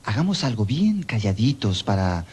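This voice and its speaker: A man's voice: lowish voice, very animated, normal speed, darkish tone, husky. A man with an Ecuadorian accent hosting a children's TV show, friendly and coaxing as he talks to kids. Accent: Ecuadorian Spanish. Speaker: children's TV host. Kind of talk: children's TV presenting.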